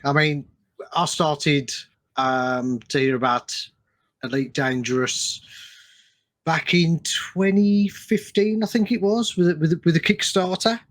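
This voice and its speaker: A man hosting a casual interview podcast, talking haltingly and repeating his words as he goes. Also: a little high, a bit bright. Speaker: podcast host.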